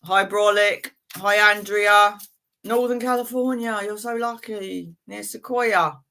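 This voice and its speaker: Bright-voiced chatty woman tarot reader. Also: medium pitch, fairly even intonation, takes it slowly. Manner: chatty and friendly, calls viewers "girlfriend"; frequent laughs and "um" fillers. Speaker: tarot reader hosting live readings. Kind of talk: live-stream chat, reading cards for viewers.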